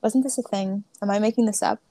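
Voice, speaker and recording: Young female co-host on a remote call, dry close sound, creaky, talks in loose restarts. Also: mid-range voice, steady tone, fast talker, dark tone, no echo. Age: young adult. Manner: joking, self-deprecating, talks in loose restarts. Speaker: podcast co-host. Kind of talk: casual faith podcast chat. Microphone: remote call with headphones.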